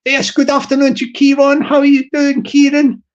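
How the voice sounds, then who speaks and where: A man's voice: very high-pitched, monotone, normal speed, muffled recording. A man chatting casually on his live stream, rambling and chuckling, repeating words and restarting his sentences as he greets viewers. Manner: hearty, upbeat.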